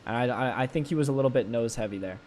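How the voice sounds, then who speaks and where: A young man from Canada, live-streaming a flight game and chatting with viewers in a stammering, excitable way. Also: medium pitch, racing, slight background noise. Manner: animated, stammering, talks to chat. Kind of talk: live gameplay stream chat.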